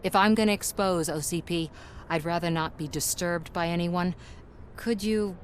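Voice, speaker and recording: Female actor for game role: determined, wry woman, casual and persuasive, lowish pitch, light background noise. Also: moderate pace, lightly acted. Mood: businesslike, coaxing. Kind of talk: video game voice acting.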